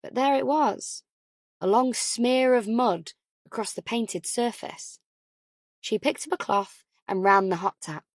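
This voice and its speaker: A woman's voice: fairly high pitch, a little slow, no room sound, studio quality. A woman reading a novel as an audiobook narrator, in scripted storytelling style.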